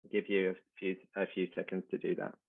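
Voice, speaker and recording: A man's voice: sits low, monotone, fast, vocal fry, slightly rough. Male service leader reading liturgy, recorded in narrow, phone-like audio. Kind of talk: liturgical reading, prayer leading. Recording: thin phone-like sound.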